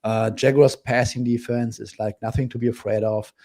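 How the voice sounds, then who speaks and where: A man analyzing football bets in a casual discussion, speaking in a measured way with hesitant fillers. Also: medium pitch, steady tone, mellow. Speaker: betting analyst. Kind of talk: casual sports betting discussion.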